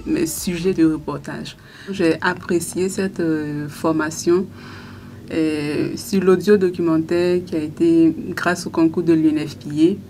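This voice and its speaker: Young female radio journalist, lower voice, measured and hesitant, studio mic with faint noise. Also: a little mellow. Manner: thoughtful, with frequent pauses and restarts. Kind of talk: interview answers. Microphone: studio microphone on a stand.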